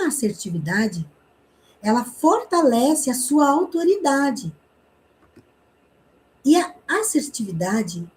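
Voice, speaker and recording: Warm-voiced woman teaching a class. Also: on the high side, low-quality recording. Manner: explanatory, with rhetorical questions and frequent "né?". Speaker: communication teacher. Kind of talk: online class lecture.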